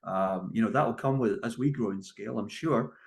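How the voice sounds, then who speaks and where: A man's voice: on the low side, normal speed, creaky. A man with a Scottish accent answering interview questions as a company founder, conversational and emphatic, leaning on key phrases. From Scotland. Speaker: guest, tech company founder and CEO.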